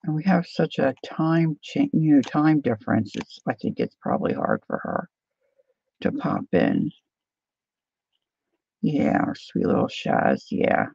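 A woman chats softly and unhurriedly with viewers as she works at a craft table. Her voice is mellow and a little gravelly. The live stream audio is dry and slightly dull. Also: low voice, wildly swooping pitch, dry recording. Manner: soft, unhurried, chatty, greets viewers. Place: indoor craft table, overhead camera.

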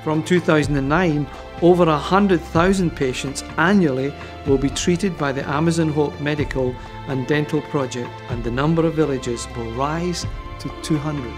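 A man reading a measured, informative scripted voice-over. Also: a little high. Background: music.

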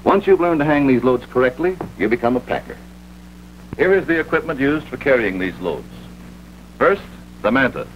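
A man's voice: mid-range voice, sing-song, normal speed, pauses often. An American man narrating a vintage military training film in a scripted, authoritative instructional manner. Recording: dull vintage film soundtrack with hum and hiss.

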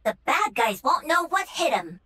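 An actress playing a cocky, playful young Korean mech pilot and gamer, cheeky and teasing. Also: female voice, high voice, very animated, normal speed, sharp tone, full character voice. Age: young adult.

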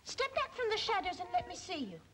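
A woman's voice: very high-pitched, wildly swooping pitch, brisk, exaggerated voice. A kindly but cautious English postmistress from an old film drama. She is practical and soothing, on a noisy vintage soundtrack. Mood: concerned, soothing. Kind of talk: 1950s British film acting.

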